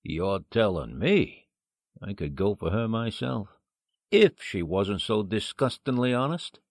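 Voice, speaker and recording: A man's voice: fairly low pitch, lively intonation, unhurried, a little mellow, no room sound, clean studio sound. An actor playing a tough, slangy, wisecracking gangster henchman in a 1940s-style radio play. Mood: slangy, eager. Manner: slangy, tough-guy talk. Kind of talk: 1940s radio crime drama acting.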